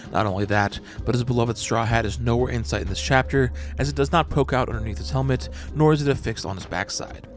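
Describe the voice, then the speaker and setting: Man narrating steadily over background music. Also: mid-range voice. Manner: measured, analytical explaining. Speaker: manga analysis channel host. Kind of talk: scripted narration.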